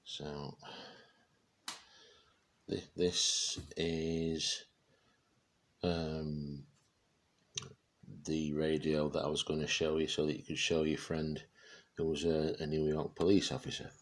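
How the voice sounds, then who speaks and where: A man giving a casual, unscripted product demonstration, speaking haltingly as he searches for words. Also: rumbling low, little pitch movement. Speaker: presenter showing vintage radio gear.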